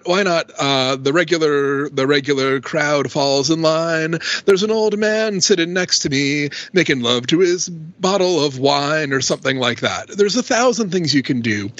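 A man's voice: fairly high pitch, fairly bright tone, dry recording. A man on a podcast panel, arguing his opinions in a casual discussion style. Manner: opinionated, argumentative. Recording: dull, dry lo-fi audio.

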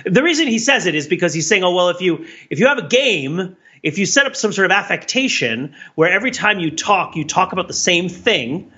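Male podcast co-host, higher-pitched and lively, casual but analytical, on slightly dull lo-fi audio. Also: fairly quick, few pauses, slightly rough. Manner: animated, voices imagined remarks as examples.

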